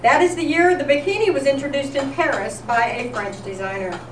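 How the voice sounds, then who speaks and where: A high-pitched woman presents a scripted tribute in the manner of a radio newscast. She reads deliberately and with light comedy. Her voice comes from well away from the microphone in a very echoey room. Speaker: event presenter. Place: live event in a senior center room. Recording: echoey, noisy room recorded from far off.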